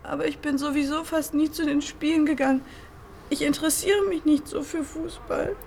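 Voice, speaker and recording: Very high-voiced young woman in grief. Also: steady tone, normal speed, creaky, darkish tone, a little hiss or hum. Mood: subdued grief. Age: young adult. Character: grieving young woman, shaken girlfriend of a dead footballer. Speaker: actress. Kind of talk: naturalistic crime drama acting.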